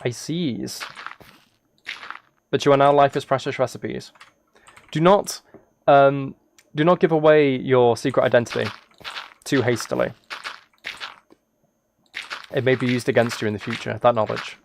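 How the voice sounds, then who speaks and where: A man's voice: medium pitch, fairly even intonation, a little slow, vocal fry, long pauses, a little hiss or hum. A British man streaming a video game, chatting casually in a rambling, self-deprecating and apologetic way. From United Kingdom. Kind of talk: casual livestream chat while gaming.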